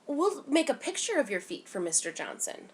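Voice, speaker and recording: A woman voicing a patient, careful mother in a children's storybook, gently explaining and reassuring. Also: on the high side, big pitch swings, moderate pace, voice pushed up.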